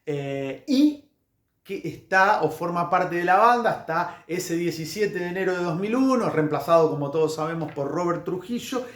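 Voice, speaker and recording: A man's voice: high-pitched, animated, moderate pace. A man with an Argentine accent talking casually about music history to camera, digressing and often stopping to correct himself. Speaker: music vlogger. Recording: echoey room.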